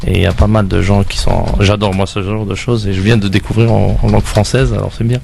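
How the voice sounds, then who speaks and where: A man's voice: lowish voice, little pitch movement, fast, vocal fry, a little mellow, a little hiss or hum. A man whose first language is Arabic, chatting eagerly as a radio panel guest. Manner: eager, chiming in often. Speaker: show guest.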